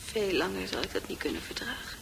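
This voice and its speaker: An actress voicing the inner thoughts of a withdrawn, resentful female psychiatric patient, breathy and mostly whispered, in a radio drama. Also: mid-range voice, animated, fast, background noise. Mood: irritated. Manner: secretive, brooding.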